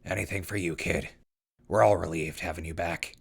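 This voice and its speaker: A man playing a gruff, wry leader of a wasteland crew, gravelly-voiced, in a post-apocalyptic audio drama. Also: sits low, steady tone, normal speed, exaggerated voice. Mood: reassuring.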